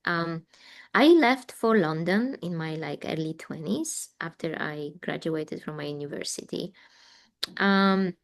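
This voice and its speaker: A woman in her forties from Poland with a Polish accent, chatting in an interview as a guest artist and rambling with fillers. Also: deep voice. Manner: rambling, warm, frequent fillers and laughs. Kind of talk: casual interview chat.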